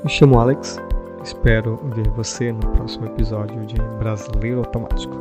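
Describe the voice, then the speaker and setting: A man with a Brazilian Portuguese accent, hosting a podcast language lesson and explaining verbs calmly and patiently, repeating example sentences. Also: on the low side, a little fast, music in the background. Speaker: podcast host and language teacher.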